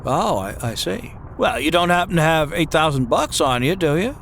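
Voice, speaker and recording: A man's voice: fairly high pitch, big pitch swings, moderate pace, a bit bright, a little hiss or hum. A man playing a troubled, bewildered small-town family man in an old-time radio drama, with a hesitant, stammering delivery. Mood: despairing.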